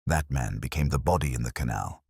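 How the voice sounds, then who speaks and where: A man narrating a scripted mystery story in a measured, formal way. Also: a bass voice, vocal fry, a little mellow, slightly rough, no echo, studio quality. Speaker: audiobook narrator.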